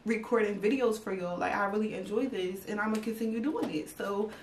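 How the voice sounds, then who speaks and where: A woman's voice: medium pitch, a little fast, roomy sound, recorded from a distance, a little hiss or hum. A young woman chatting casually to her viewers, slightly congested and stuffy, rambling with lots of fillers. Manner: rambling, filler-heavy, talks straight to viewers. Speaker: YouTube vlogger. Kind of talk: casual chat to camera.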